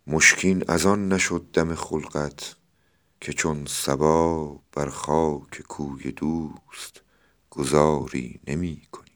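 A man's voice: very deep voice, steady tone, a bit bright. A man, speaking as a podcast host who presents and explains classical poetry. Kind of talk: poetry recitation and informal explanatory talk.

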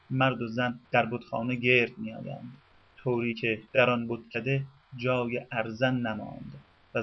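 A man reads scripted radio narration in a measured, formal manner and eases into a slower cadence whenever he recites classical verse. His voice sits at an ordinary middle pitch. The recording sounds dull and lo-fi, like an old radio broadcast.

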